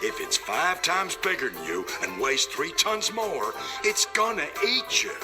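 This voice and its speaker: Folksy cartoon bear, easygoing, very animated pitch, colloquial, protective, loud music. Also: male voice, a little slow. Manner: folksy, colloquial, drawling asides.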